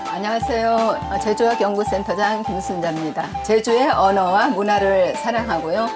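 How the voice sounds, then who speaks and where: A woman from Jeju appears as a guest language researcher and explains things calmly. Her voice sits at a medium pitch. Clear music plays behind her. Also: brisk.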